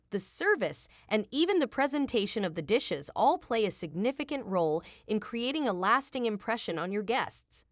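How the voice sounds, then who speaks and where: A woman's voice: a little high, dry recording, clean studio sound. A woman giving a scripted promotional voice-over with a polished, upbeat delivery. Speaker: narrator.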